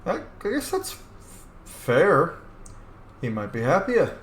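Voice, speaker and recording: A man's voice: on the high side, huge pitch swings, takes it slowly, echoey room, a little hiss or hum. A man hosting a video game roleplay, reacting expressively and speaking dialogue choices aloud. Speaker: gaming video host, roleplayer.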